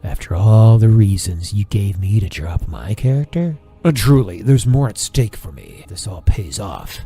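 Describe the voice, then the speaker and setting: A man's voice: on the low side, animated, measured pace, dark tone, breathy edge, faint music behind, heavily acted. A man playing a scheming, self-righteous friar who speaks in pious, formal words for an audio drama. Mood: smug, self-righteous.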